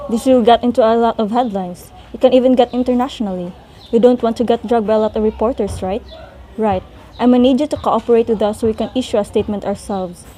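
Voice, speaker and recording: A woman's voice: a little high, dark tone, lightly acted. A woman voicing a stern, impatient investigator who questions students in a firm, businesslike way in a student radio drama.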